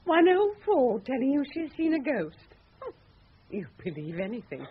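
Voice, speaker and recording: A woman's voice: high-pitched, huge pitch swings, moderate pace, husky, exaggerated voice. An actress playing a jaded, hard-drinking, scornful woman in a radio drama. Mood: scornful, dismissive. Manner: sardonic, dismissive. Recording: muffled phone-like old radio recording, faint noise.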